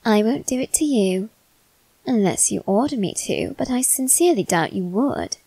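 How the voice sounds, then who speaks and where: A woman voicing a polite, coaxing young male demon in an ASMR roleplay aimed at the listener, speaking softly and courteously. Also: medium pitch, animated, husky, no room sound, poor audio. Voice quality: soft, measured and courteous.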